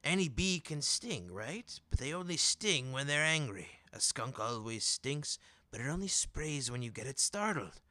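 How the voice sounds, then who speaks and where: A man's voice: medium pitch, very animated, normal speed, bright, forceful tone, no room sound, voice pushed up. A man voicing a whiskered, balding merchant, a brash wheedling huckster with folksy showman patter, in an audiobook. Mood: showy, wheedling. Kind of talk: audiobook character voice.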